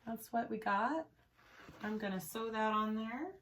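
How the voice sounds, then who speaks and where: A woman's voice: on the high side, unhurried, slight background noise. A woman hosting a casual sewing tutorial, chatty and easygoing as she thinks aloud while working, her voice slightly strained from a recent illness. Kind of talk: casual step-by-step craft tutorial.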